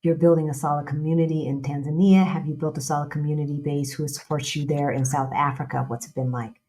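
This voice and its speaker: Chatty low-voiced woman host. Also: fairly even intonation, fast talker, dark tone. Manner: chatty, repeats phrases, casual slang. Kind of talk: casual live-stream interview chat.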